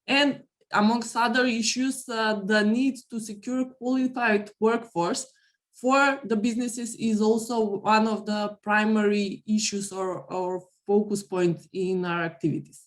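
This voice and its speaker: A woman giving formal interview answers as an organization's representative, measured and pausing within phrases. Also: medium pitch, steady tone. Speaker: guest representing a business chamber forum.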